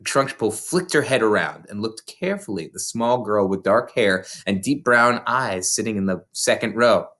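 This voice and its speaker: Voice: medium pitch, expressive, moderate pace, few pauses, a bit bright. A reader, narrating a children's novel aloud. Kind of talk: read-aloud storybook narration.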